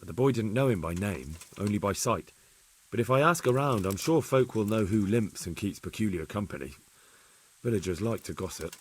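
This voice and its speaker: A man's voice: on the low side, animated, normal speed, slightly rough. A man playing an earnest, deferential retainer in a video game, speaking respectfully and plainly to his superior. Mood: respectful, reporting. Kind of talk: video game cutscene acting.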